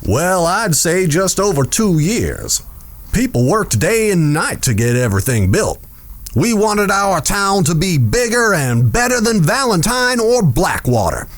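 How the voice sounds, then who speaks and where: A man playing a smooth, self-important saloon owner in a Western audio drama, speaking in a formal, courtly, measured way. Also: high-pitched, big pitch swings. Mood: proud, courteous.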